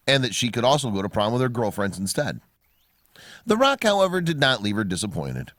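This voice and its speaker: A man narrates a scripted story with lively, swooping intonation. His delivery is measured and built for storytelling, and the sound is dry, with no room around it. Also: mid-range voice, no echo.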